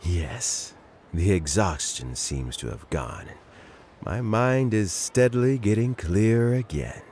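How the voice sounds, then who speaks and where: An actor playing a gentle, weary, dignified elderly statesman in a video game, speaking in a measured, reflective way. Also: fairly low pitch, expressive, slight background noise. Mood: grateful.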